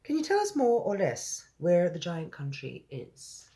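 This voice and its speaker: A storybook reader voicing a tactful, sensible Queen who gives orders in a brisk, regal manner. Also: mid-range voice, big pitch swings, dark tone, voice pushed up. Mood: courteous, firm. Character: tactful, commanding, sensible Queen, adult woman.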